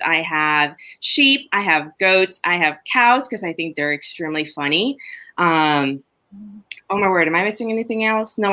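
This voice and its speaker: A middle-aged woman from Honduras, a farmer chatting casually and telling stories about her farm. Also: mid-range voice. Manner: chatty, animated storytelling with laughs. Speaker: farmer, podcast guest. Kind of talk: casual chat.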